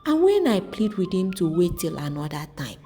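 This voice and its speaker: A woman's voice: fairly low pitch, big pitch swings, moderate pace, warm tone. Woman voicing an emphatic village wife. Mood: indignant. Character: indignant village woman, consoling friend and fellow sufferer. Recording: long reverb, music underneath.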